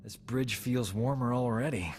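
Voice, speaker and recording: A man's voice: mid-range voice, normal speed, darkish tone, in a large hall, a little hiss or hum, close to an everyday voice. An actor playing a wry, flirtatious male doctor and companion in a video game, with a suave manner. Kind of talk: scripted game dialogue.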